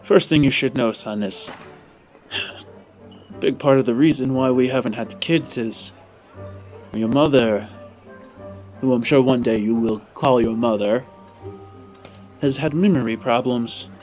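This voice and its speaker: A scheming fake husband played by a man talks slowly and with measured, sugary affection. It is tabletop roleplay with soft music underneath. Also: medium pitch, lightly acted. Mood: calm, patronizing.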